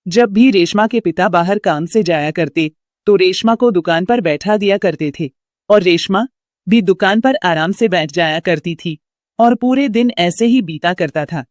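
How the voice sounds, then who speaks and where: A woman's voice: fairly low pitch, unhurried, a little mellow, no room sound, clean studio sound. A woman reading a scripted story as its narrator.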